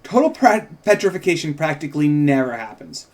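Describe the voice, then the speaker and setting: Man reading as probing woman reporter Mel, higher-pitched. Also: sing-song, fairly quick. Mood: matter-of-fact. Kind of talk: script table read.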